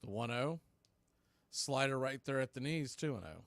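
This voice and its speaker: A man's voice: mid-range voice, expressive, moderate pace, no room sound. A man doing live baseball play-by-play in clipped phrases with conversational asides. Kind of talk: live sports commentary.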